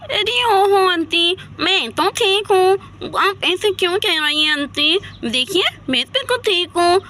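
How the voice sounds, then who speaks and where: A woman's voice: extremely high pitch, animated, a little slow, sharp tone, voice pushed up, full character voice. A woman voicing a grown woman who thinks she is a little child, whiny, innocent and pleading in a dramatised story.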